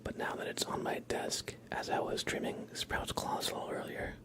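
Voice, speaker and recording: A man hosting an ASMR livestream, chatting casually in a soft, hushed, gentle manner. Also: normal speed. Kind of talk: whispered ASMR, casual rambling chat.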